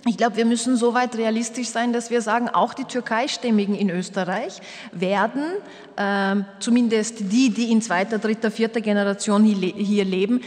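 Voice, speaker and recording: A middle-aged woman from Turkey, a panel guest speaking in a measured, thoughtful way at a table microphone. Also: a little high, darkish tone. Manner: measured, thoughtful, gestures while talking. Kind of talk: panel discussion. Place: wood-panelled hall, indoors. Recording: reverberant hall.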